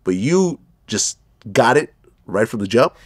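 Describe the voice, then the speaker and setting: A man's voice: mid-range voice, big pitch swings, unhurried, breathy edge, no echo, clean studio sound. A middle-aged man hosting an interview, asking friendly conversational questions. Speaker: interview host.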